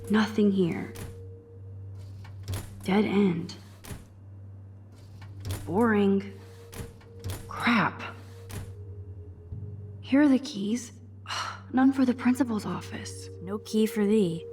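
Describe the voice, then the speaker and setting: A woman's voice: medium pitch, very slow, pauses often, a little mellow. A young American woman playing a wry, nerdy, curious student girl in a video game, with a quippy, musing delivery. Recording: music behind the voice.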